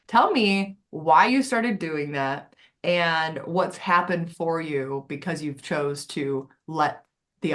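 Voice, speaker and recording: A woman's voice: deep voice, a bit bright. A woman hosting a live interview, encouraging her guest and often checking in with "right?". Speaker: host. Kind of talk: casual interview chat.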